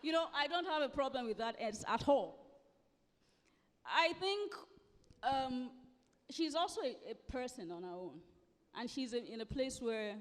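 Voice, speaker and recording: A woman with a Nigerian accent, around forty, speaking emphatically as a guest author in a live conversational interview, often repeating phrases for stress. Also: fairly high pitch. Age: late thirties to forties. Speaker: guest author at a book festival. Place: live festival event hall. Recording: echoey hall with long reverb.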